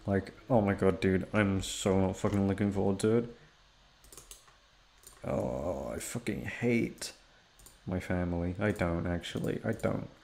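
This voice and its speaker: A young man rambling in a casual chat, swearing often and jumping into high-pitched exclamations. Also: a little slow, vocal fry, a little mellow. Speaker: audio roleplay creator.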